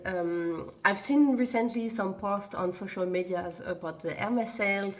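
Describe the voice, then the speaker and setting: A young adult woman vlogger chatting casually to camera, rambling with frequent ums and self-corrections. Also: mid-range voice. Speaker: fashion vlogger.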